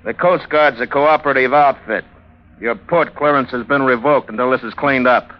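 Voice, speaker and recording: A man's voice: mid-range voice, normal speed, close to an everyday voice. Man playing a needling cop, American accent, falsely patient interrogator, radio drama, muffled vintage sound. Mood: pressing, suspicious. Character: shrewd, needling male police investigator questioning a suspect. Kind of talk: old-time radio drama dialogue. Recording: dull vintage radio recording.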